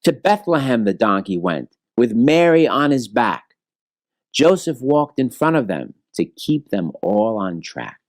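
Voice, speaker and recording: A man reading a rhyming children's story aloud in an expressive, measured storytelling manner. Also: mid-range voice, no room sound. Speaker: storybook reader.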